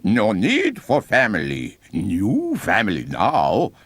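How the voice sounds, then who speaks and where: A man's voice: on the low side, wildly swooping pitch, fairly bright tone, slightly rough, no echo, pitched above the performer's own voice, heavily acted. A man voicing a big, sinister clown in a horror story, speaking in broken, clipped, solemn phrases. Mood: menacing. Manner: broken clipped grammar, slow solemn pronouncements. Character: sinister blue-haired adult male clown, bigger than his fellows.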